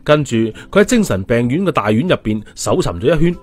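A man's voice: medium pitch, very animated, fairly quick, darkish tone, faint music behind. A man narrating an audiobook story in a storytelling style.

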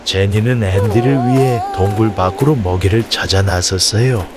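A man telling a scripted animal story for children in an animated, expressive way. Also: fairly low pitch, moderate pace. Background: music.

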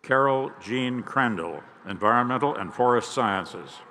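A man reading graduates' names and fields of study at a commencement ceremony in a large outdoor stadium, announcing each one clearly and evenly. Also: mid-range voice, lively intonation, a little slow, sharp tone. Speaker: commencement name reader.

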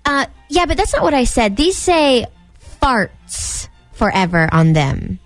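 High-voiced, awkward order clerk played by a woman. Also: very animated, takes it slowly, no echo, faint music behind. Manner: hesitant, polite. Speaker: prank caller posing as shop clerk. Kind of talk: prank phone call.

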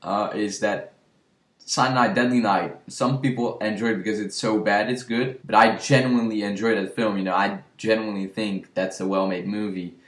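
A man's voice: on the low side, steady tone, moderate pace, fairly bright tone. A young man chatting casually as host of a movie review video, recorded indoors at a desk. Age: young adult. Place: small room with shelves, indoors. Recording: roomy indoor echo.

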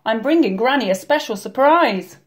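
Donna che fa una bambina dolce e curiosa, voce acuta. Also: female voice, very high voice, huge pitch swings, slow pace, pitched above the performer's own voice, exaggerated voice. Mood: cheerful. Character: sweet, curious little girl in a fairy tale.

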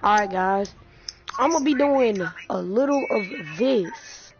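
A woman YouTuber playing a VR game, who trash-talks the characters with playful slang and bursts out loud. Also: a little high, a little slow, over music. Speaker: gaming YouTuber. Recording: dull lo-fi sound with loud hiss.

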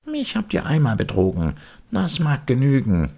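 A man's voice: mid-range voice, huge pitch swings, moderate pace, creaky, warm tone, husky, pitched above the performer's own voice, exaggerated voice. A man voicing an earnest young wooden puppet boy in a storybook, speaking emphatically and with feeling. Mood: indignant.

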